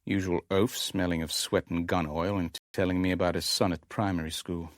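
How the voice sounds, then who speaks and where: A man's voice: low voice, little pitch movement, normal speed, no echo, lightly acted. An actor playing a worldly, wry Levantine businessman who narrates a radio drama in a dry, clipped way. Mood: wry, matter-of-fact. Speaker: narrator and lead character. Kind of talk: radio drama first-person narration and dialogue.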